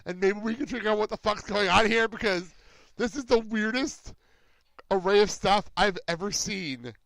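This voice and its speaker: A man hosting a podcast, reacting in loud disbelief, exclaiming and laughing as he recaps a cartoon episode. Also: high voice, moderate pace, gravelly, no room sound. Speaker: podcast host. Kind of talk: casual podcast chat.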